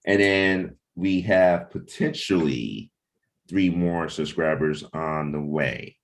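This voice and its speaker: A man's voice: low-pitched, slow pace. A man hosting a casual livestreamed team chat, speaking informally and a little hesitantly as he thinks aloud. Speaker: host and comic creator leading a cast meeting.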